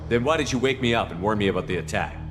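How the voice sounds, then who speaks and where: An actor playing a tough, defiant military hero in a sci-fi video game, firm and challenging. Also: male voice, fairly low pitch, expressive, rapid-fire, lightly acted. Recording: music behind game dialogue.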